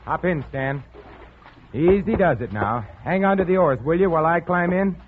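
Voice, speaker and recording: An actor playing a genial hunting host with veiled menace, urbane and mockingly solicitous, in 1940s radio suspense style. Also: male voice, on the high side, normal speed, lightly acted. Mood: silky menace. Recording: old radio, narrow band, faint noise.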